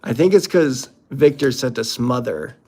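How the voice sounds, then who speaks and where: A man's voice: mid-range voice, normal speed, warm tone. A man hosting a casual unboxing commentary, talking in a playful, jokey, exclamatory way. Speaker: host opening card packs.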